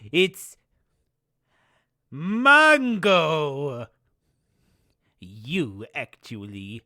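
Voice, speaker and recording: A man's voice: sits high, bright, forceful tone, no room sound, studio quality, full character voice. A man voicing a smug, scheming warlord who taunts his enemy with a heavy put-on foreign accent. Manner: taunting, drawn-out gloating. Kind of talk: comedic game-character voice lines.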